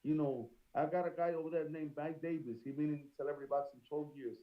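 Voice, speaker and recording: Puerto rican accented guy, fairly high voice, earnest, muffled lo-fi audio. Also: steady tone, quick pace. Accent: Puerto Rican Spanish. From Puerto Rico. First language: Spanish. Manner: earnest, repeats "you understand?". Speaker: boxer guest. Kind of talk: casual interview chat.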